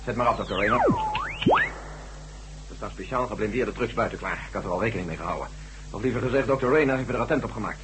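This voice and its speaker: A man's voice: fairly low pitch, quick pace, long pauses, a bit bright, soft background music, natural voice. A man playing a practical, authoritative, matter-of-fact mission leader in a radio drama, on a very noisy old recording. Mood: brisk, commanding.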